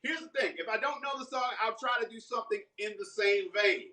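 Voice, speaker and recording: A man's voice: extremely high pitch, fairly quick, bright, forceful tone. A man chatting casually on a live stream while cooking breakfast, laughing often and breaking into playful bits of song. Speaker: guest live streamer and singer. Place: home kitchen. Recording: echoey room, voice far from mic.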